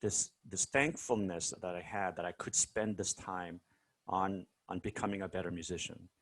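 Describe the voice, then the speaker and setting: A man's voice: sits low, moderate pace, a bit bright. A man from South Korea being interviewed over a video call, telling stories casually with many fillers and restarts. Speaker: guest musician.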